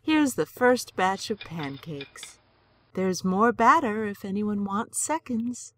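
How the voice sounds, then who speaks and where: An actress playing a gentle, wistful wife and mother in an audio drama. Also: mid-range voice, lively intonation, slow pace, background noise. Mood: homely. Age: older. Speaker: voice actor.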